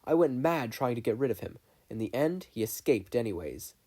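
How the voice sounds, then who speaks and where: An older great-uncle in an audio story, performed by an adult reader. He speaks with urgent, regretful intensity in a mellow, medium-pitched voice. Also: very animated, brisk. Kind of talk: fan fiction audiobook character voice.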